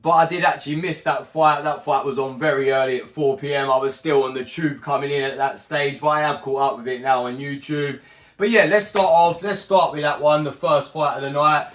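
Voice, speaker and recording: A man whose voice is husky and strained after a night of shouting, talking in a chatty, rambling way and often restarting his sentences. His pitch sits a little higher than usual, and the room around him sounds echoey. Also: a little fast. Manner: chatty, rambling, self-correcting. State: hoarse, croaky strained voice. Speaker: boxing channel host. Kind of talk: casual fight recap monologue.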